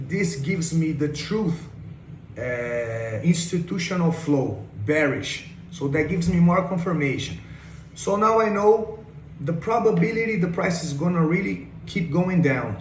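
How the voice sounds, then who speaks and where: A man's voice: fairly high pitch, animated, a little slow. Brazilian-accented man in an echoey room. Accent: Brazilian Portuguese. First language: Portuguese. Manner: enthusiastic, repeats phrases like "check this out". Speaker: day trader. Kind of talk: screen-share trade breakdown. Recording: echoey room with faint noise.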